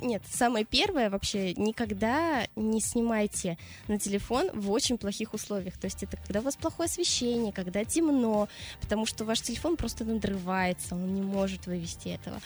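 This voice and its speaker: A young woman from Russia talks as a guest on a radio morning show, her pitch sitting in an ordinary middle range. She answers casually, pausing often and restarting with plenty of fillers as she searches for words. Faint music plays in the background. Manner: hesitant, many fillers and restarts.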